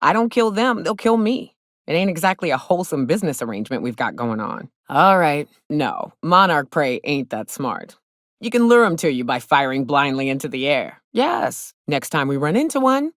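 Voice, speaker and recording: A low, very expressive female voice, recorded dry. a hard-drinking monster hunter from a video game frontier, blunt and teasing. Her delivery is wry, with a folksy drawl. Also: normal speed, no echo. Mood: sardonic, teasing. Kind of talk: video game companion dialogue.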